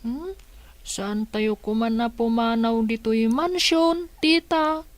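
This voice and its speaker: A woman's voice: a little high, slow pace, no echo. A woman playing a puzzled, questioning younger boarder in a radio drama. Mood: puzzled, wondering.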